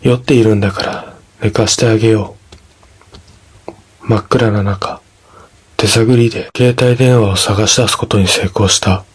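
A man narrating a scripted ghost story in a measured, dramatic storytelling manner. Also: lowish voice, fairly even intonation, breathy edge.

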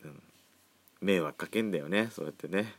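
A man's voice: deep voice, fairly quick. A man around forty hosting a casual solo podcast, chatting with campy, feminine phrasing. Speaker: podcast host. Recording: faint background music.

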